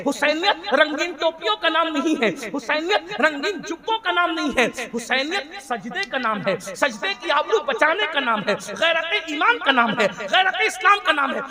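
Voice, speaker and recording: Guy preaching a fiery islamic sermon, really high voice, repeats lines to scold and urge, crowd audible behind him. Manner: rhetorical, repetitive cadences, exhorting and admonishing. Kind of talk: impassioned Urdu religious sermon to a congregation. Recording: live gathering with crowd noise. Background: congregation responding.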